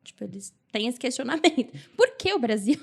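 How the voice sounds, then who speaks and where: A woman's voice: on the high side, sing-song, a little fast, vocal fry. A Brazilian woman talking informally and chattily as a podcast guest, with a Brazilian Portuguese accent. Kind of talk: casual chat.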